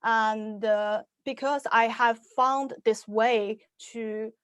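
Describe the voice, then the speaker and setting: A young adult woman from Shanghai whose first language is Chinese, giving an online talk in English about her writing, pausing often with "uh" and "um" and restarting her sentences. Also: on the high side, fairly even intonation, clean tone, dry recording. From Shanghai, China. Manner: hesitant, many "uh" and "um" fillers, restarts. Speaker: science fiction author giving a talk.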